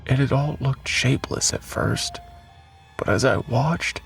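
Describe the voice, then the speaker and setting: Guy playing a shaken college kid, numb and halting, raspy creaky voice, really slow, audio drama. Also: medium pitch, fairly bright tone, soft background music. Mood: awed, shaken. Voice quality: raspy, breathy. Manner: halting, numb, throat-clearing. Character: shaken, numb male student recording a disaster testimony.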